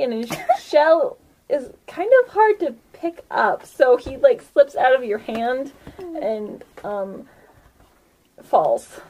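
Higher-pitched woman running a tabletop game, unhurried. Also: mellow, a little hiss or hum. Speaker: game master. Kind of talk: casual improvised tabletop game chat.

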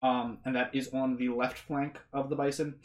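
A game master narrating a tabletop fantasy game off the cuff, in a halting, stammering, conversational way. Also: medium pitch, little pitch movement.

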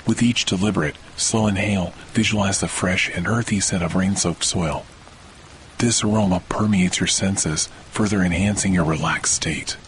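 Man, deep voice, slow soothing meditation guide, long pauses, soft rain and thunder behind. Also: steady tone, slight background noise, poor audio. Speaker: podcast host, meditation guide. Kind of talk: guided sleep meditation, scripted narration. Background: rainfall with thunder.

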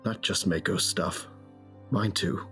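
A very low-voiced man, played by an actor, carries grief in an intimate, measured delivery. He sounds like a brooding, tender lover in an audio drama, with music playing underneath. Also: steady tone, a bit bright. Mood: grieving, resolute. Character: grieving big man, tender, weary lover and narrator. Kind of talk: dramatic first-person audio drama narration.

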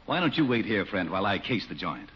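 Cocky tough-guy crook, lowish lively voice, wisecracks, muffled old 40s radio sound. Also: quick pace. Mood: smooth, wry. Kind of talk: 1940s radio comedy acting. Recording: muffled 1940s radio recording, faint noise.

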